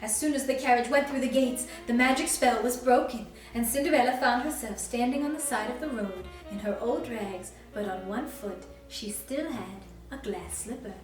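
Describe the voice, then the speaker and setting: A woman's voice: a little high, normal speed, few pauses. A woman reading a storybook expressively to young children as a princess character, sweet and encouraging, inviting them to join in. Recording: very echoey, distant mic, faint music.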